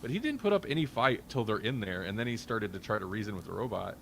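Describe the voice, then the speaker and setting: Guy with a kinda low voice chatting on a review podcast, thinking out loud, bit of background noise. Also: very animated, fast, gravelly. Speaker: podcast co-host. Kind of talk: casual discussion and review.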